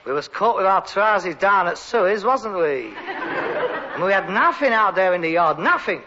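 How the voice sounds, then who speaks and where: An actor playing an exasperated, sarcastic adult son with mock-refined airs and a London Cockney accent in a vintage British sitcom. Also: male voice, high voice, very animated, unhurried, pushed and bright, some chatter behind, exaggerated voice. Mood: self-important. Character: exasperated, sarcastic adult son with aspirations, rag and bone man. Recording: dull, noisy vintage broadcast recording.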